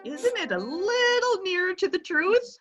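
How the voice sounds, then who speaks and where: A sharp-tongued society widow, read by an actress, quick to needle and doubt. Her voice is high and bright, lifting and dropping lively across each line. It is a readers theatre reading. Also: dull audio, soft background music, heavily acted. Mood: needling. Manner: teasing, pointed. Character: sharp-tongued wealthy widow, teasing and skeptical. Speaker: actor.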